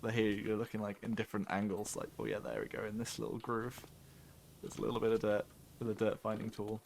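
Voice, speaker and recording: A man with a fairly low voice chats easily while playing, laughing often and filling gaps with ums. His talk is loose and friendly, with faint background hiss. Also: little pitch movement, fast talker, gravelly, a little mellow. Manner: chatty, laughing, many fillers. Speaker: game developer. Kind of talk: casual panel chat while playing.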